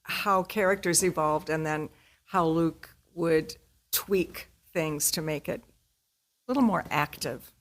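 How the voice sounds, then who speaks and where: Slightly low-voiced actress in casual interview. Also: female voice, takes it slowly. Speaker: community theater actor, interview guest.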